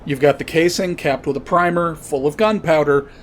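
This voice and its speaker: A man's voice: fairly high pitch, normal speed, slight background noise. A man delivering an opinionated commentary monologue, emphatically spelling out and repeating his points. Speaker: podcast host commentator.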